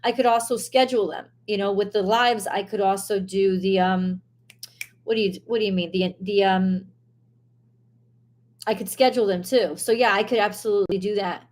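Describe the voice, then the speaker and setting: Hoarse woman venting on a live stream. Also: mid-range voice, fairly even intonation, normal speed, darkish tone. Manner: frustrated, blunt, swears, loses her train of thought. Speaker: tarot reader hosting a live stream.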